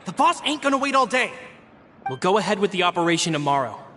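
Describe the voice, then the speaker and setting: A man playing a brusque, impatient henchman in an animated video game, curt and rough-spoken. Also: high voice, fast talker, a little hiss or hum, exaggerated voice.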